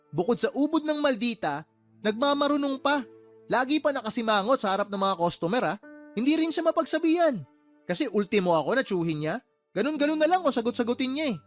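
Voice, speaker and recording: A man playing a fed-up, exasperated uncle and boss in a Tagalog radio drama, scolding his sister with heated, sarcastic questions. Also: high-pitched.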